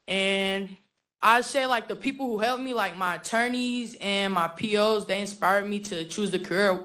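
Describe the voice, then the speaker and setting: A young woman from the Philippines and San Francisco giving informal personal testimony in a casual, halting way with lots of "like". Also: medium pitch, pushed and bright. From born in the Philippines, raised in San Francisco from age eight. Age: young adult.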